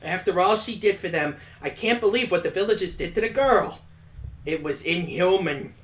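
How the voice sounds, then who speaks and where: A man's voice: high voice, moderate pace, fairly bright tone. A man reading the lines of a sorrowful, earnest young boy protagonist in a visual novel, in a plain, earnest delivery.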